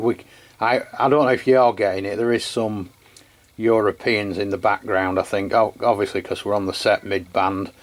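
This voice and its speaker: Low-voiced man. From England. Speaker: radio channel host testing equipment. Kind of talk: casual radio chat, hands-on review.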